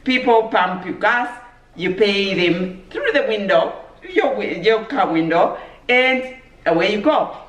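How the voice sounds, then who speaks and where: A woman from Zambia, chatting casually on her vlog in a relaxed, measured way and laughing easily. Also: mid-range voice, dull audio. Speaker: vlogger. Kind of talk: casual chat. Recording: echoey room, mic at a distance.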